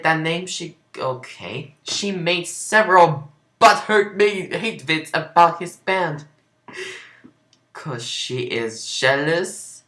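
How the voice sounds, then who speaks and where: A woman with a slightly low, sharp-edged voice reads fan fiction aloud as an amateur. She reads it mockingly, as a dramatic reading, and steps out of it for sarcastic comments. The recording is roomy and echoing. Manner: breaks off into sarcastic asides and laughter.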